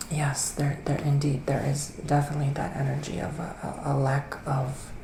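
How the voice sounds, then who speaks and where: Man playing a slow, soft-spoken gentle witch. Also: mid-range voice. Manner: hesitant, drawn-out phrasing with pauses. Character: gentle male witch giving psychic tarot readings. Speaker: ASMR performer. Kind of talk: soft-spoken ASMR roleplay, partly whispered. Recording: roomy echo, clear background noise.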